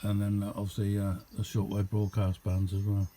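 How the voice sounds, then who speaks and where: A man's voice: on the low side, completely flat delivery, brisk, mellow, a little hiss or hum. A man giving a casual, unscripted show-and-tell as a hobbyist, rambling along in an understated way. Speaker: hobbyist demonstrating a homemade radio.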